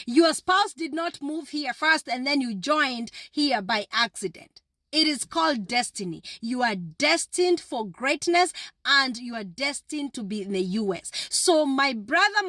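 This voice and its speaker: A woman's voice: high-pitched, fairly bright tone, no echo, studio quality. A woman giving a motivational address to her viewers in an emphatic, repetitive, preacher-like manner. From Kenya. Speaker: vlogger.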